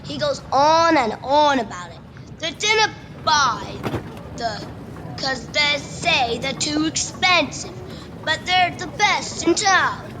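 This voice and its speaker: An amateur actress with a Scottish accent playing a cheeky, daydreaming schoolboy in a radio play, eager and boastful. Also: female voice, high voice, lively intonation, slow pace. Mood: dreamy, earnest. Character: cheeky, daydreaming schoolboy, butcher's son under sixteen. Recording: noisy remote home recording.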